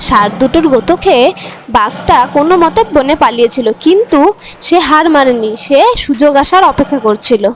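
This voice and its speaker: A woman's voice: sits high, lively intonation, brisk, background noise. A woman narrating a children's moral tale as its storyteller.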